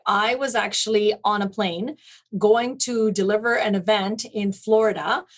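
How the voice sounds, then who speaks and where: A woman's voice: mid-range voice, fairly even intonation, unhurried. A woman speaking as an interview guest, recounting things in an articulate, detailed, conversational way. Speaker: interview guest, event planning business owner.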